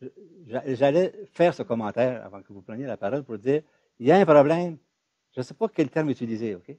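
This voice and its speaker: A man from Ontario, moderating an academic discussion in an emphatic, animated way. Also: medium pitch, fairly quick, no room sound. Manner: emphatic, animated, rising loud on key points.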